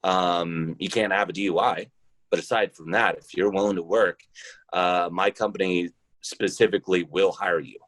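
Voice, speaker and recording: Very low-voiced man, dry sound. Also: steady tone, normal speed, fairly bright tone, no room sound. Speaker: podcast guest, role-playing show founder and trucker. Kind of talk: casual interview conversation.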